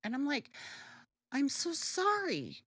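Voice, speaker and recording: A woman with a deeper alto voice co-hosting a casual podcast, brash and joking, swearing freely. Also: slow, no room sound, clean studio sound. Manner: animated, lively pitch. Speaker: podcast co-host. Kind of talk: casual chat.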